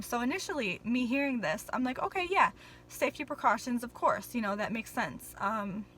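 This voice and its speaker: A woman's voice: fairly high pitch, fairly bright tone, slight background noise, rough recording. A young woman vlogging in a casual, rambling way, full of ums and apologies, a little nervous on camera.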